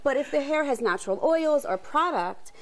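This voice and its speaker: Woman, high-ish pitch, chatty, agreeable, TV interview guest, practical advice. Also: animated, moderate pace, over a noisy crowd, poor audio. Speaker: lice expert guest. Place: TV studio.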